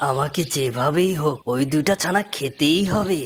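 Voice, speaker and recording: A woman's voice: low voice, moderate pace, slight background noise, close to an everyday voice. A woman voicing a sly, greedy, bullying fox in a children's fairy-tale cartoon. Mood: greedy, scheming. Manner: scheming, threatening, gloating. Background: music.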